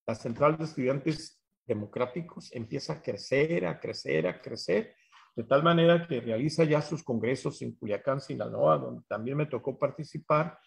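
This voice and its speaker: A man gives a talk recalling his memories, haltingly, searching for words and repeating phrases. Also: medium pitch, gravelly. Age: 70s. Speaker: speaker at a history symposium. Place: small bright room indoors, on a video call.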